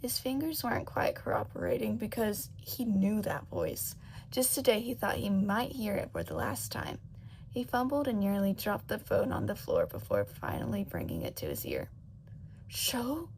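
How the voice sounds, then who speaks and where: Female fiction narrator, animated and emotive, medium pitch with some gravel. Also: normal speed, slight background noise. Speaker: audiobook narrator of fan fiction. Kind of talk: dramatic scripted narration.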